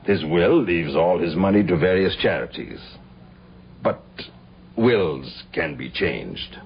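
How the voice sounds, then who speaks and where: An actor playing a suave, menacing club agent who makes smooth, deliberate threats in a radio drama. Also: male voice, deep voice, expressive, full character voice. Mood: smugly persuasive. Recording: dull lo-fi radio recording, faint noise.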